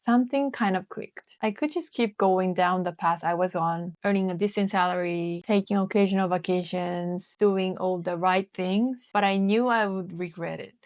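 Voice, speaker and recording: A woman in her twenties from Japan, speaking English with a Japanese accent in a calm, reflective voice-over for her vlog. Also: medium pitch, no echo, clean studio sound. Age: 20s. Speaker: vlogger. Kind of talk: scripted voice-over narration.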